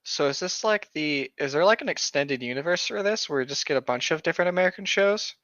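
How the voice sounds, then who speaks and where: A young man with a bright, sharp voice talks casually as a podcast co-host. He is sardonic and quick to giggle. The recording is dry and a little lo-fi. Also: a little high, lively intonation, no echo. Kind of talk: casual joking chat with bits of reading aloud.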